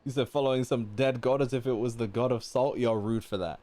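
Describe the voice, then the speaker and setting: A man's voice: mid-range voice, fairly even intonation, brisk, dark tone. A man giving casual live reactions as he streams a game, chatty and questioning things aloud.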